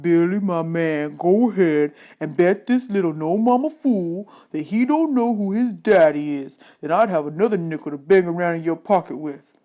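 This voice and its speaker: A woman voicing a swaggering male buffalo on a nickel, talking in a slow drawl, in a storybook. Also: fairly low pitch, animated, warm tone, lo-fi sound, close to an everyday voice. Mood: taunting.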